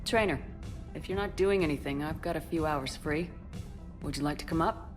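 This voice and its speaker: A woman's voice: deep voice, normal speed, over music, close to an everyday voice. A woman playing a tough, confident military commander in a video game, direct and dryly humorous. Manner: direct, dry.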